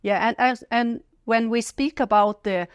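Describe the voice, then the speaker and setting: A woman's voice: on the high side, steady tone, measured pace, clear, resonant tone, dry recording, dull audio. A woman with a Brazilian Portuguese accent, a professor hosting an interview in English, friendly and encouraging with frequent affirmations. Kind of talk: interview host.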